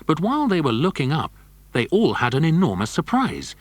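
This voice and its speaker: Male storyteller for children's audio drama. Lively scripted narration, mid-pitched, dry sound. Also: brisk, no echo.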